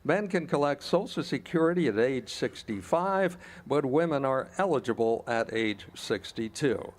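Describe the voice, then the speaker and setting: A man's voice: medium pitch, expressive, moderate pace. A man announcing from a script as emcee of a radio-style show.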